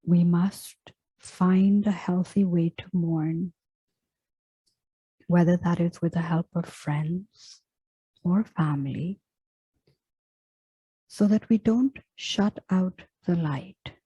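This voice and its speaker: A woman guides a meditation with a very dark, mellow voice. Her delivery is very slow and hushed, with long pauses between gentle phrases. The tone is breathy and soft, like a script read with care. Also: fairly low pitch, no echo, poor audio. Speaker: meditation guide and yoga teacher.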